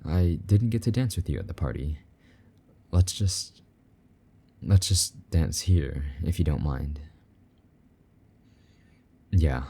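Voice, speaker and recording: A man playing a possessive but tender, lonely demon lover in an intimate romantic audio roleplay, speaking softly and coaxingly in a husky voice. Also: heavily acted. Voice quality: very deep, dark, husky. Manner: soft, slow-burning, gently coaxing endearments.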